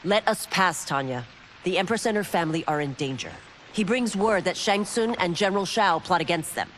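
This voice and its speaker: A woman's voice: on the low side, fairly quick, a little hiss or hum, close to an everyday voice. An actress playing a stern, honourable warrior woman in a video game, solemn, resolute and formal, with dramatic delivery. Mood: urgent. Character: stern, honourable woman warrior, former royal guard turned constable. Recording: music behind.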